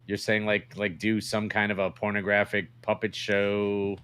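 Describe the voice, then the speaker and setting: A man's voice: on the low side, little pitch movement, normal speed, fairly bright tone, clear, resonant tone. A man co-hosting a podcast in casual, bantering chat, crude and joking, often questioning his partner. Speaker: podcast co-host.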